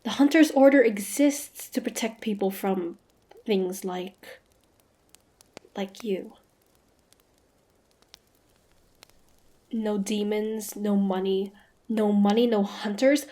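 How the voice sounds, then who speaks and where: A woman playing a wary, sharp-tongued young demon hunter with a prickly but grudgingly softening manner, in a fantasy roleplay audio drama. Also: mid-range voice, slow, natural voice. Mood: indignant. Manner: guarded, sharp-tongued, tsundere.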